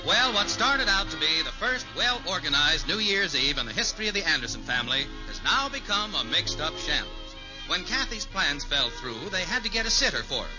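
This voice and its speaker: Male American narrator, high and lively, vintage scripted radio style, clear music bed, muffled sound. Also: a little fast. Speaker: story narrator. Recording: dull old radio recording. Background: music underneath.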